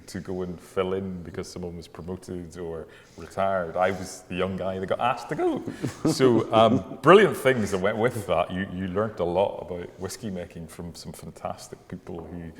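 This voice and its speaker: Low-voiced Irish man in an echoing room. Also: moderate pace, hardly any pauses. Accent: Irish with some Scottish. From Ireland. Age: middle-aged. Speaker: distillery manager being interviewed. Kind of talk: casual interview. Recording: long echoing reverb, faint background noise.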